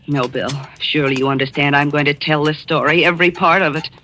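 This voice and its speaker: A woman's voice: sits low, fairly even intonation, fast talker, pushed and bright. An actress playing a brave, earnest young woman standing up to a traitor in an old radio drama. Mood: tense. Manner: earnest, tense. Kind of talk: 1940s radio acting. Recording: old radio recording with faint hiss.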